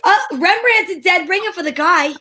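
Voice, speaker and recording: A woman acting as a wisecracking lady detective in an old-time radio mystery. Her voice sits high. She is breezy and wry, tossing off quips as she tells her case. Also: normal speed, pushed and bright, a little hiss or hum. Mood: casual. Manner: playful, wry, quipping.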